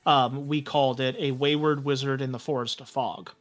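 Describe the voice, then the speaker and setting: A man's voice: medium pitch, fairly even intonation, moderate pace, faint music behind. A man speaking as an interview guest, chatting in a reflective, conversational way. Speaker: game master, podcast creator and guest. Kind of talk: casual interview chat.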